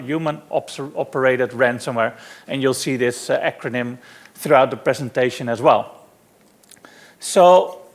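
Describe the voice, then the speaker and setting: Dutch guy giving a conference talk, kinda high voice w/ lots of fry, laid back, says uh a lot. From Netherlands. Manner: relaxed, self-deprecating presenter with frequent fillers. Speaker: conference speaker. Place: conference hall.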